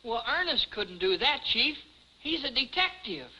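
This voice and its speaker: Eager, piercing high-voiced youngster. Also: female voice, very animated, unhurried, bright, forceful tone, heavily acted, poor audio. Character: eager, helpful, guileless youngster. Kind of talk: 1950s television comedy acting.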